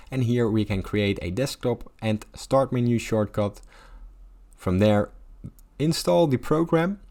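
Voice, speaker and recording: A man hosting a software tutorial, narrating each step in an instructional way. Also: lowish voice. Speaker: tutorial host.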